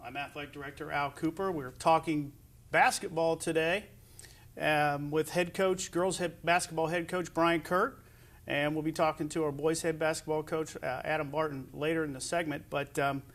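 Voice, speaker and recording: A middle-aged man with a slightly high voice hosts a sports interview. He speaks as an athletic director, setting up questions for his guest in a conversational way. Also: fairly even intonation, moderate pace, a bit bright.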